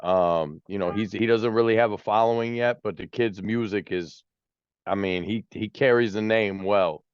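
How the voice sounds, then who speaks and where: A man around forty with a lowish voice, easygoing and unhurried as he chats. The sound is muffled and lo-fi, with a dry, close room and almost no echo. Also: clear, resonant tone. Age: 40s. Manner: laid-back, drawled shout-outs, tag "man" and "you know what I mean". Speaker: music producer, guest. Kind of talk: casual interview chat.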